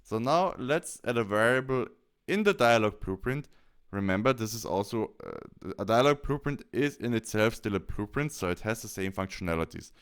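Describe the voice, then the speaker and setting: A man walks through a software tutorial step by step. His voice is fairly low and clear, with very lively, swinging intonation. He speaks as a presenter explaining what to do next. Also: moderate pace.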